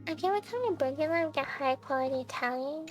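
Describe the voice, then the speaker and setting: A woman with a high-pitched voice talks as a game livestreamer. Her delivery is casual chat aimed at viewers, and faint music plays underneath. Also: animated, fast talker. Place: close indoor stream setup with game music behind.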